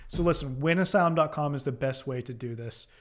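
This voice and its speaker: A man's voice: a little high, fairly quick. An American man from Oklahoma giving casual, direct advice to live-stream viewers as a lawyer, reassuring and repeating phrases for emphasis. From Oklahoma, United States. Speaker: immigration lawyer hosting a live Q&A.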